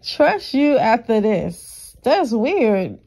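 A woman's voice: on the high side, very animated, extremely slow, a little mellow. An American woman talking casually and bluntly on a confrontational phone call, with a dismissive manner. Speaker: caller, vlogger.

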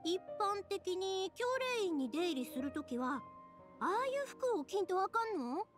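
A woman's voice: very high voice, lively intonation, normal speed. A woman playing a cheerful, considerate, chatty young woman with a Kansai accent in an anime fantasy game. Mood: friendly, explaining. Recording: music behind the voice.

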